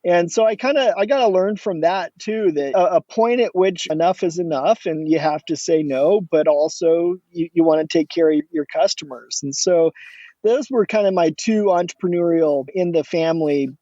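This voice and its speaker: Mellow, easygoing middle-aged man. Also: on the high side, moderate pace, dry recording, muffled recording. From Oregon, USA. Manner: relaxed, rambling, many restarts, chuckles. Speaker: guest entrepreneur. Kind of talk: casual interview talk.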